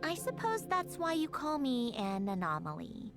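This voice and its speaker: A woman's voice: high voice, very animated, moderate pace. A woman voicing a prickly, world-weary young female video game character with a dry, curt manner hiding wistfulness. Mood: sorrowful, searching. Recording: clear music behind the voice. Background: game music.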